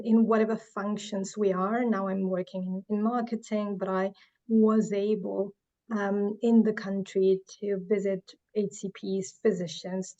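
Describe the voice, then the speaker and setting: A middle-aged woman from Uruguay talks earnestly in a panel discussion, pausing on fillers and restarting her phrases. Her voice is mellow and fairly even in pitch. The recording sounds a little dull and lo-fi. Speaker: marketing manager. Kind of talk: panel talk.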